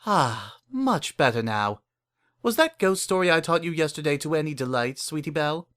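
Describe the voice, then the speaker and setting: A man's voice: on the high side, animated, normal speed, a bit bright, clear, resonant tone, voice pushed up. A man voicing an elegant, caring grown mare with a genteel, affectionate manner in a story.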